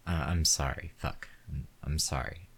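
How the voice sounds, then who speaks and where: A man's voice: low-pitched, takes it slowly. An actor in a one-sided audio roleplay, playing a crude, hot-tempered man who curses constantly and turns frightened and pleading. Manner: heavy cursing, snarling.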